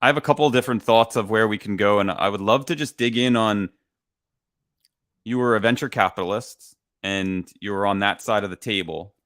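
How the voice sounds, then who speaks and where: Low-voiced host with a dry sound. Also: male voice, brisk, fairly bright tone, no room sound. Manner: friendly, many fillers. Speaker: podcast host. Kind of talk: casual interview questions.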